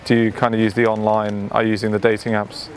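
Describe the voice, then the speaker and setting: A man's voice: fairly low pitch, monotone, quick pace, background noise. A man casually interviewing people on a busy city street, asking easygoing questions full of fillers. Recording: busy street crowd behind.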